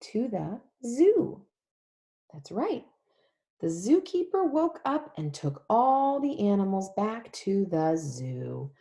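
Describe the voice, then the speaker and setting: A woman's voice: medium pitch, very animated, slow, creaky, mellow. A woman leading a picture-book read-along for young children, talking in an exaggerated, sing-song way and drawing out key words.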